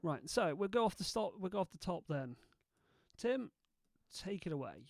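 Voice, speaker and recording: A man hosting a video, casually thinking aloud as he tests software and mutters asides to himself. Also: high voice, big pitch swings, normal speed, vocal fry, no echo. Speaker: video host testing software.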